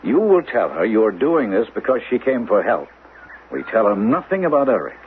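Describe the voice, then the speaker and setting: A thin, band-limited 1970s radio drama recording carries a resolute husband plotting in secret. The man coaxes and reassures with urgency, his pitch sweeping widely from hushed to emphatic. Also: sing-song, moderate pace. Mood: persuasive. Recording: narrowband, faintly noisy 1970s radio recording.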